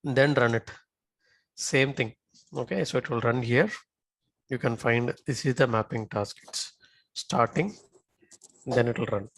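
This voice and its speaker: Man teaching software, dry close sound. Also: mid-range voice, a little slow, pauses often, no room sound. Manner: checks in often with short tag questions. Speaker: software instructor. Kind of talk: live software tutorial with screen walkthrough.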